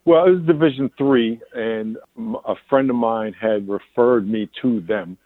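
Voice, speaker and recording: A man's voice: mid-range voice, unhurried, dry recording. A man in his sixties from New York, a pastor answering interview questions candidly, rambling with restarts. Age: 60s. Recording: tinny phone line.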